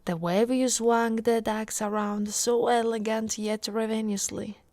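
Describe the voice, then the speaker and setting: A woman's voice: a little high, steady tone, measured pace, darkish tone, dry recording. A woman from Italy voicing an affectionate, playfully boastful orc warrior wife in an intimate, teasing ASMR roleplay, often dropping to a whisper. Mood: nostalgic.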